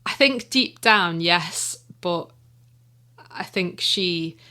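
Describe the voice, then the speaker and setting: Woman, Manx accent, lowish voice, casual chat, laughs a lot. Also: expressive, drawn-out words, bright, forceful tone. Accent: Manx English. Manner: chatty, laughs often, trails off to avoid spoilers. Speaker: audio drama writer and guest. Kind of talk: casual radio interview.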